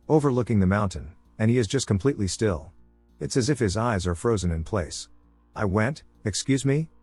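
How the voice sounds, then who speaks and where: Low-voiced man reading horror stories. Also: no room sound. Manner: calm, measured storytelling. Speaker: narrator reading listener stories. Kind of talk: scripted horror story narration.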